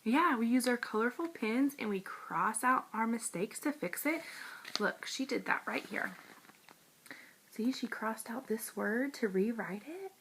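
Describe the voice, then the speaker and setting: A woman reading a children's book aloud in an expressive, emphatic, childlike way. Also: fairly high pitch, a little slow, a little hiss or hum, poor audio. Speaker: storybook read-aloud reader.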